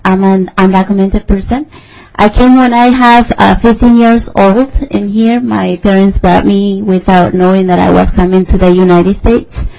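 Gravelly-voiced woman, careful English. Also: mid-range voice, steady tone, moderate pace, dark tone, rough recording. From Mexico. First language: Mazahua. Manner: careful, searching for words in a third language. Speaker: testifier at a public hearing. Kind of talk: public testimony. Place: legislative committee hearing room.

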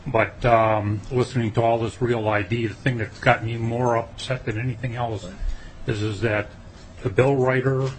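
A man's voice: mid-range voice, flat delivery, moderate pace, a little hiss or hum, poor audio. A man testifying as a taxpayer at a committee hearing, emphatic and indignant. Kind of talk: public testimony. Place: legislative committee hearing room.

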